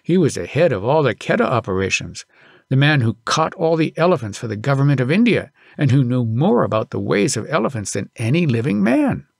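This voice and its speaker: A man narrating an audiobook as an expressive storyteller. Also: medium pitch, a little fast, creaky, no room sound, studio quality.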